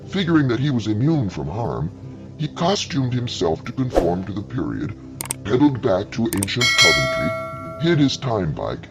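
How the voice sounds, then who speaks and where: A man's voice: lowish voice, highs cut off. A man narrating a scripted story in a conversational way, with wry asides to the listener.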